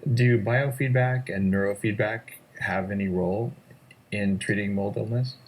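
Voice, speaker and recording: A man interviewing a guest, asking measured, direct questions. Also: on the low side, fairly even intonation, slightly rough.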